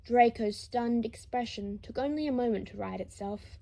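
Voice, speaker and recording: Mellow, creaky-voiced woman narrator. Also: fairly high pitch, moderate pace. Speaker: fan fiction narrator. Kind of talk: scripted story narration.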